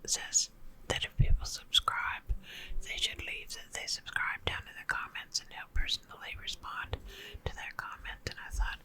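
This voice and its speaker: A woman's voice: moderate pace, sharp tone. A woman rambling in a chatty, close-mic whisper, talking to a friend in an ASMR video. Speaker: ASMR creator.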